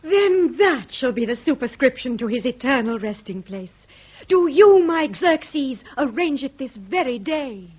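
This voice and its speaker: A woman playing a delicate, high-flown aristocratic lady in a period comedy radio drama, phrasing everything dramatically. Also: very animated, measured pace, a little mellow, slight background noise. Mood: earnest.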